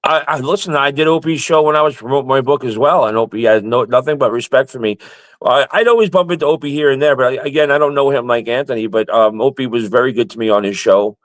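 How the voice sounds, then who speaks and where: A man's voice: medium pitch, quick pace, no echo, rough recording. Blunt, swearing man with a stutter. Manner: blunt, swears freely, repeats words when starting. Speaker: guest. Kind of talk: casual chat.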